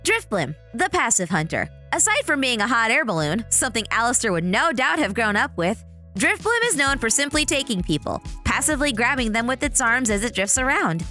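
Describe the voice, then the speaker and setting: A woman doing scripted narration for a video, with a dramatic, animated storytelling delivery. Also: fairly high pitch, brisk, faint music behind.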